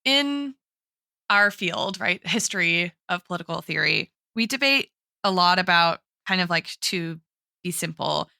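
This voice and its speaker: A woman's voice: on the low side, expressive, measured pace, gravelly, pushed and bright, dry recording, clean studio sound. A woman co-hosting a podcast in an analytical, intellectual conversational style, often tagging her points with a checking "right?". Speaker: podcast co-host and academic in political theory.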